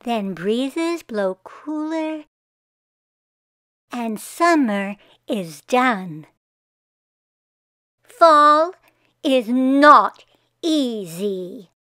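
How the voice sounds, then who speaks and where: An earnest female tree from a children's picture book , voiced by a woman reader. She speaks her rhymes very slowly and deliberately, with a high, expressive voice that swings up and down. She is easily exasperated, and the sound is close and dry. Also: dry recording, heavily acted. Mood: contented. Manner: slow, deliberate, expressive rhymed storybook reading.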